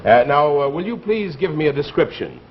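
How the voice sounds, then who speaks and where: An actor playing a suspicious, scatterbrained police sergeant in a 1950s radio comedy, halting and interrogating. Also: male voice, on the high side, very animated. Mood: officious. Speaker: comic actor in a radio show. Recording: muffled old radio recording, noisy studio audience. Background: audience and clear noise.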